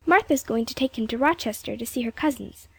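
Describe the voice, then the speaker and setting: Woman doing a high, prim British little girl. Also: a little fast, vocal fry, slightly rough, dry recording, exaggerated voice. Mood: dreamy, chatty. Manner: polite, girlish, prim. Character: polite, dreamy, chatty little English girl.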